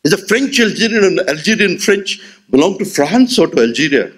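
Husky Indian man lecturing expressively. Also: sits high, a little fast. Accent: Indian English. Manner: expressive, rhetorical, gestures while speaking. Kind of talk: memorial lecture. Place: auditorium stage at a podium. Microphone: podium gooseneck mic.

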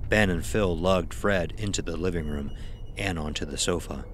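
A man's voice: deep voice, normal speed, slight background noise. A man narrating a scripted horror audio drama as its storyteller.

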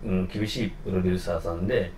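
A man's voice: deep voice, very fast. A middle-aged man talks casually in an interview, telling his own story and acting out bits of dialogue as he goes. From Osaka area, Japan. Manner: animated storyteller, acts out remembered dialogue. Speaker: actor being interviewed. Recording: roomy echo, faint background noise.

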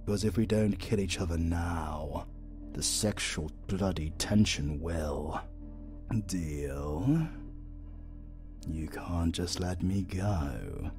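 Male actor as flirty British supervillain, very slow drawl, gravelly, theatrical, sardonic, audio roleplay. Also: sits low, expressive, a little mellow, soft background music, full character voice. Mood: sly, bargaining. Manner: slow, drawling, teasing, dramatic. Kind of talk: romantic comedy audio roleplay.

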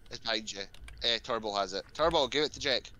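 A man's voice: medium pitch, fast talker, bright, forceful tone. A man in casual gaming banter on voice chat, talking in a pleading, insistent way. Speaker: fellow player on voice chat.

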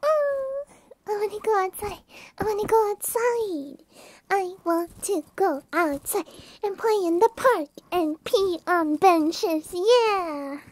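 Casting: playful, needy puppy girl, ASMR roleplay; extremely high register, very slow delivery, pleading whine. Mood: insistent, whiny. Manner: whiny pleading with yips and giggles. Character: clingy, playful, whiny puppy girl pet. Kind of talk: ASMR roleplay, speaking to the listener.

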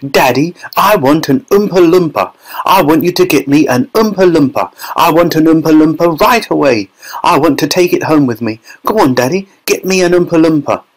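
A man's voice: expressive, moderate pace, rough recording. A man voicing a spoiled, whiny, demanding little girl in a children's storybook. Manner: insistent, shrill demanding. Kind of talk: audiobook children's story character voice.

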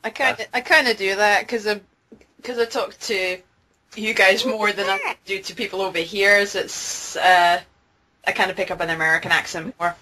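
A woman's voice: medium pitch, steady tone, moderate pace, sharp tone. An English-born woman with a Scottish accent, chatting loosely on a voice call with lots of fillers. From England. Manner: chatty, rambling, with many fillers. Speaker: interview guest. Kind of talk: casual chat on a voice call. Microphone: video call.

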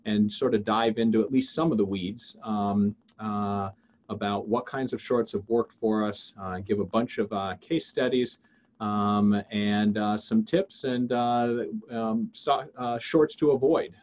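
An American man in his fifties presenting a webinar informally, with halting delivery and frequent ums. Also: fairly low pitch, fairly even intonation, a little mellow, muffled recording. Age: 50s. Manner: halting, frequent filled pauses and restarts. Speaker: webinar host and investing teacher. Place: indoors on a video call.

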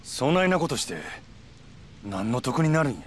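A man's voice: mid-range voice, sing-song, moderate pace, slight background noise, close to an everyday voice. Actor as a volatile young Kansai yakuza man. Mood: alarmed, incredulous. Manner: blunt, rough, questioning. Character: hot-headed young yakuza man, suspicious and agitated. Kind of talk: Japanese video game cutscene acting.

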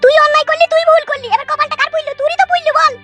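A performer voicing a fed-up eighteen-year-old village daughter in a comedy cartoon, with a rural West Bengal accent and a pitched-up voice. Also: flat delivery, racing, sharp tone, soft background music. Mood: reproachful.

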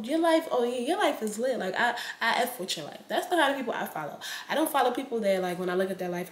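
A woman's voice: lowish voice, a little hiss or hum. Chatty young woman in a roomy room. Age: 20s. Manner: chatty, blunt, speaks straight to viewers. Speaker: vlogger. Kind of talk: casual vlog chat to camera. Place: indoors in a home room.

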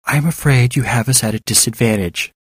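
Courteous male doctor, voiced by a narrator, formal, cautious, measured, audiobook character, very dry sound. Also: medium pitch, slightly rough, no room sound, natural voice. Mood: hushed. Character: soft-spoken, polite, cautious male doctor in disguise.

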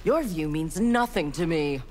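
A woman's voice: sits low, lively intonation, unhurried, darkish tone, slight background noise. A woman voicing a proud, ruthless young female ninja warrior in a video game, speaking in a cold, haughty, clipped way.

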